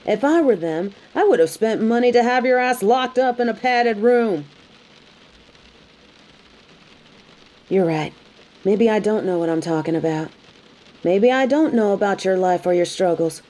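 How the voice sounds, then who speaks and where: A woman's voice: medium pitch, expressive, moderate pace, mellow, close to an everyday voice, poor audio. A woman playing a tough, sassy bounty hunter in an audio roleplay, speaking straight to the listener with dry, taunting, scolding bluntness. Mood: stern, scolding. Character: tough, sardonic female bounty hunter, grudgingly hospitable, scolding. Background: rain.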